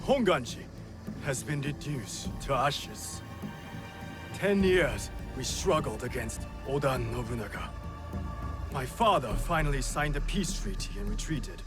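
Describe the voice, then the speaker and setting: An actor playing a proud, bitter, disowned rebel warrior in a video game drama, brooding and theatrical. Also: male voice, a little high, very animated, measured pace, over music.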